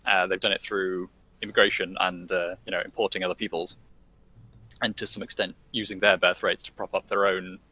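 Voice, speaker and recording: Podcast guest: low-pitched man with a bright, forward tone, halting delivery full of "um" and "you know". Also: dry recording, rough recording. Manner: hesitant, many fillers and restarts. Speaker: guest commentator. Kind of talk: casual podcast discussion.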